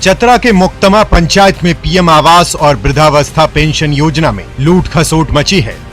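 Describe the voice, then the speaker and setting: A man's voice: fairly high pitch, lively intonation, moderate pace, a bit bright, faint music behind. A man reading scripted regional news stories as a voice-over presenter.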